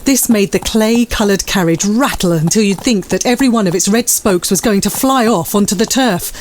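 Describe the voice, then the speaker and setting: A woman's voice: mid-range voice, a little fast, darkish tone, a little hiss or hum. A woman narrating a classic story as scripted, dramatised literary storytelling.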